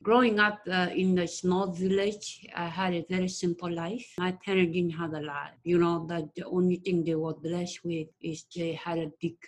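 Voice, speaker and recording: Low-voiced Ugandan woman recalling her life. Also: flat delivery, moderate pace, hardly any pauses, low-quality recording. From northern Uganda. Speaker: interview guest telling her life story. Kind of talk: personal recollection in conversation. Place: remote video call from a city home.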